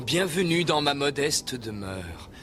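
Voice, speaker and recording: An actor voicing a proud, composed, centuries-old master inventor in a dubbed cartoon, speaking formally and with measure. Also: male voice, on the high side, sing-song, measured pace. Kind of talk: French-dubbed 1990s cartoon acting. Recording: loud music behind the voice.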